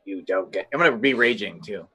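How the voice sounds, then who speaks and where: A young man playing a tabletop game in a studio room, joking and theatrical, with a husky voice. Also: fairly low pitch, expressive, fairly quick, sharp tone. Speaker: player.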